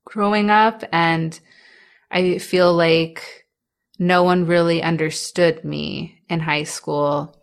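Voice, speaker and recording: A young woman with a stutter talks slowly and candidly in a personal interview, her voice sitting a little low. Words catch and restart on their first sounds, and small laughs slip in between her thoughts. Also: steady tone, creaky, smooth.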